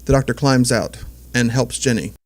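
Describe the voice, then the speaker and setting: A man's voice: medium pitch, normal speed, heavy background noise. A man giving scripted, present-tense narration as the storyteller of an audio drama.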